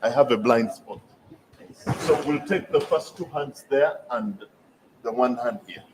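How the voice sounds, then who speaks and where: Man chairing a conference q and a, brisk and firm, keeps it moving. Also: medium pitch, background noise. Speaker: moderator. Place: conference hall.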